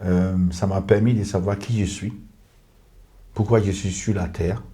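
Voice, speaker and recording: Earnest pastor. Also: male voice, low-pitched, steady tone, measured pace, mellow. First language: English. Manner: earnest, repetitive, lists in short clauses. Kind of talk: testimony in a podcast conversation.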